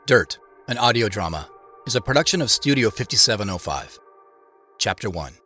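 A man narrating an audio drama in a measured, articulate storytelling manner. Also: on the low side, lively intonation, pushed and bright. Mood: measured, intriguing. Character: measured male narrator recounting a mystery. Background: music.

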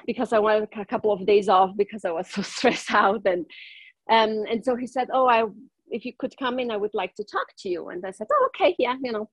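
A woman's voice: medium pitch, dry recording, muffled recording. A woman from northern Italy, a native German speaker with a German accent, talking casually as a guest with many ums and hesitations. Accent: German-speaking northern Italian. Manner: hesitant, many ums, laughs between lines. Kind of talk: casual interview.

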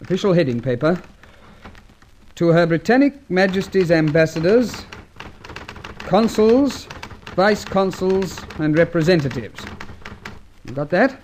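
An actor playing a wary, harried British government official in a vintage radio drama, clipped and formal with an exasperated edge. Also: male voice, high-pitched, expressive, measured pace, pauses often, darkish tone. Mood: formal, dictating. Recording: noisy old radio recording with clicks.

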